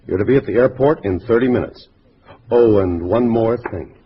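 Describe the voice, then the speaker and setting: Communist cell leader, male, acted, brisk authority, lower register, faintly hissy vintage radio sound. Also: lightly acted. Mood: commanding. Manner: curt, authoritative orders. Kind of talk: 1950s radio drama acting. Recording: dull, faintly noisy old radio recording.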